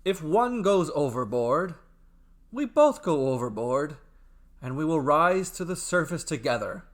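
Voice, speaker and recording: A man's voice: fairly high pitch, very animated, measured pace. A man voicing a brave, carefree brother in a storybook tale, hearty, confident and declamatory. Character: brave, carefree, boisterous brother, ever-optimistic sailor. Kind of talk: dramatic storybook character acting.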